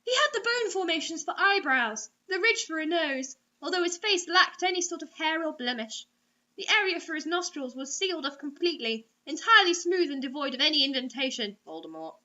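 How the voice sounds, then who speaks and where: A woman's voice: sits high, animated, a little fast, bright, forceful tone. A woman reading a story dramatically as a host, breaking in with sarcastic, mocking asides. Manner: theatrical, sarcastic. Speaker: host reading bad online fiction aloud. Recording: dull lo-fi recording.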